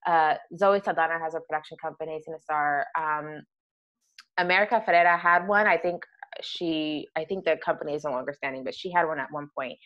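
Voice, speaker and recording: A woman with a fairly low voice, talking as a podcast guest. She is thoughtful and hesitant, often pausing on fillers and restarting her sentences. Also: muffled recording. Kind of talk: casual conversational interview.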